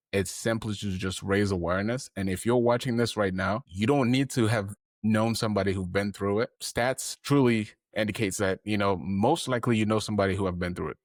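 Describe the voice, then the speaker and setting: Male podcast host, very low voice, dry close mic, earnest and rambling. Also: dry recording. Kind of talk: heartfelt interview.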